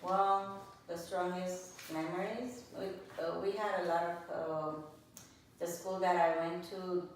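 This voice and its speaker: A woman's voice: fairly low pitch, level tone, slow. A woman in her sixties from Lebanon answering oral history interview questions in a plainspoken, hesitant way. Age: 60s. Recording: echoey room, distant mic, faint noise.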